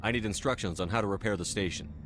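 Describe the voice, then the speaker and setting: A man's voice: fairly low pitch, quick pace, over music, close to an everyday voice. A man playing a businesslike military commander in a video game, speaking in a direct, terse and authoritative way. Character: brisk, businesslike male military commander questioning for information. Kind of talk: scripted video game dialogue.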